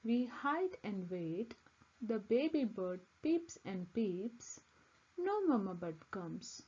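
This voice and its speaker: Slow, lively woman reading a storybook. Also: medium pitch, husky, muffled recording. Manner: simple, deliberate, expressive phrasing. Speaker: children's book reader. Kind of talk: scripted storybook read-aloud for young children.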